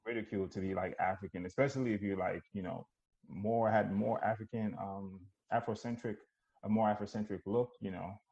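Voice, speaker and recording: Low-pitched guy from nigeria, easygoing storyteller, kinda muffled lo-fi sound. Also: a little fast, gravelly. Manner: relaxed, reflective storytelling with many fillers. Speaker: podcast guest. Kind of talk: casual podcast conversation.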